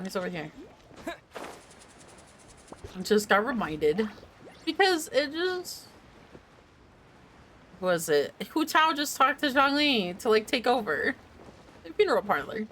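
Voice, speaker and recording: A woman's voice: on the high side, sing-song, slow, pauses often, a bit bright, a little hiss or hum. A woman streaming a game with casual, slangy, offhand commentary and exclamations. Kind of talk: casual live playthrough commentary.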